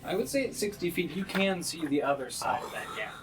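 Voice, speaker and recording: A man running a tabletop game, improvising scene descriptions in a halting way as he searches for words. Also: fairly high pitch, expressive, a crowd in the background. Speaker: game master.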